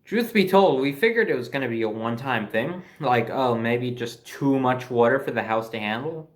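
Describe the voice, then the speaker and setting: A man's voice: mid-range voice, expressive, normal speed. An American man telling a personal anecdote in a casual, conversational and emphatic way, as a storyteller. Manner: conversational, emphatic, mildly profane.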